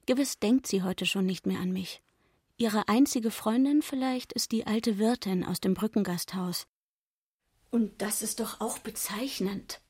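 An actress playing a young barmaid in a radio play, frank and gossipy, talking in a chatty, confiding way. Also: female voice, medium pitch, unhurried, darkish tone, breathy edge.

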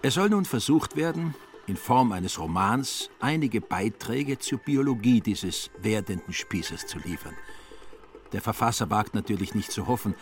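Low-voiced wry male narrator. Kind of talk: literary radio play narration.

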